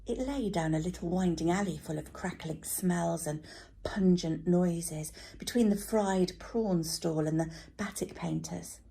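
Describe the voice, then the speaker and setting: A woman's voice: fairly low pitch, measured pace, gravelly, dark tone, slight background noise. A woman teacher reading a children's storybook aloud as narrator.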